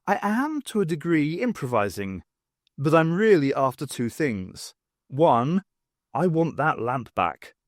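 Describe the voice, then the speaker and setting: A man voicing a brisk, determined adventurous woman, wry and commanding, in an audiobook reading. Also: sits low, very animated, no echo, studio quality.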